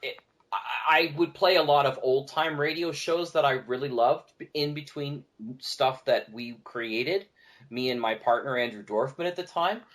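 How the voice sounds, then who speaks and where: A man with a medium-pitched voice chats as a podcast guest. He explains and recounts in a discursive, casual way, wandering through details as he goes. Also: normal speed, a bit bright. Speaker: podcast guest, audio drama producer. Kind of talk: casual conversational storytelling.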